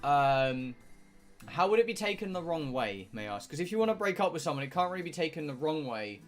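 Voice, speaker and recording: A man's voice: on the high side, sing-song, brisk, soft background music. A British man live streaming a game, rambling casually to his viewers in a blunt, sweary, joking way. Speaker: live streamer playing a visual novel.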